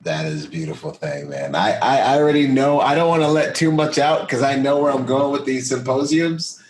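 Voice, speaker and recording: A man's voice: mid-range voice, lively intonation, a little fast, slight background noise. An American man hosting a podcast in casual, enthusiastic, slangy and emphatic conversation. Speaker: podcast host. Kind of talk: casual interview chat.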